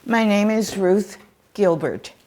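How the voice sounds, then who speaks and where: Measured woman in her eighties. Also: mid-range voice, warm tone. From Poland. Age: 80s. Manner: measured, thoughtful with pauses. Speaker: Holocaust survivor interviewee. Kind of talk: recounting childhood memories. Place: indoor interview set with photo wall.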